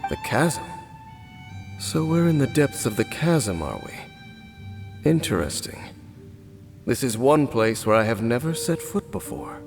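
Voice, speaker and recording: An actor playing a solemn, guarded former royal guard captain in a video game, speaking formally and with measured, brooding deliberation. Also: male voice, mid-range voice, sing-song, soft background music. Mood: grave, composed. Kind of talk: video game character acting.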